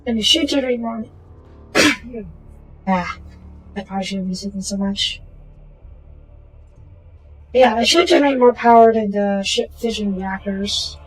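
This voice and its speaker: A woman with a slightly hoarse voice, casually talking through a strategy game and muttering to herself as she plays. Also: medium pitch, measured pace, long pauses, faint music behind, low-quality recording. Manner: muttering to herself while playing, trailing off into quiet asides. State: hoarse from a sore throat. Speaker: gaming channel host. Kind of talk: casual gameplay commentary.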